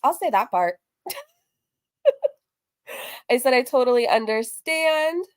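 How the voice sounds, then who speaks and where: A woman's voice: high voice, expressive, slow pace, clear, resonant tone. Chatty, gossipy woman livestreaming. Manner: chatty, gossipy, repeats phrases for emphasis. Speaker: online reaction channel host. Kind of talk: casual livestream commentary, reading out messages.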